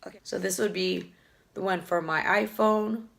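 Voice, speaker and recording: A woman beauty vlogger chatting casually through an unboxing, hoarse from a lingering cough, rambling fondly about each item. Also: mid-range voice, steady tone, normal speed, a bit bright. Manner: chatty, fond, rambling product talk with fillers. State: hoarse, losing her voice from a cough.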